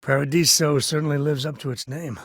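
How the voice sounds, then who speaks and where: An actor playing an easygoing, protective frontier ranger and father in a video game, with a husky voice and wry, folksy conversational delivery. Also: on the high side, steady tone, brisk, a bit bright, dry recording, clean studio sound. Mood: casual. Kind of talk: video game character dialogue.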